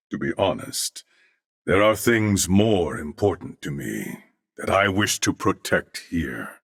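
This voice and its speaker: A man playing a courteous, dignified, loyal warrior in a video game, with formal, measured and humble diction. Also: fairly low pitch, creaky, fairly bright tone, dry recording, studio quality, full character voice. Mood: earnest, reflective. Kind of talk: scripted video game character acting.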